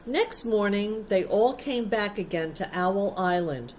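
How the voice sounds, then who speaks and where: Slow-paced woman reading a children's story. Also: mid-range voice, expressive, slight background noise. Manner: simple explanatory, child-directed. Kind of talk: picture-book read-aloud with casual asides to children.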